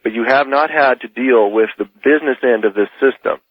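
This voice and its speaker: A man's voice: medium pitch, fairly quick, dry recording. Insistent man on a dull radio call. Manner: insistent, argumentative. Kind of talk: heated political debate.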